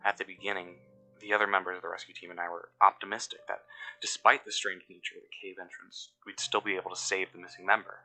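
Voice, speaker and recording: A man acting as an uneasy, cynical excavation worker, recording a fictional audio log in measured, brooding, scripted narration with pauses mid-sentence. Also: sits low, level tone, sharp tone, soft background music, heavily acted, low-quality recording. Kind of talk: scripted first-person mystery narration.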